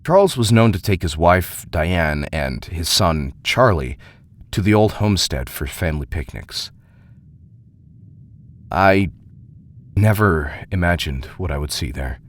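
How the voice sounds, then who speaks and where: An actor playing a grave, uneasy investigator reading out his letter in an audio drama, halting and ominous, hushed at times. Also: low voice, expressive. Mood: grave, brooding.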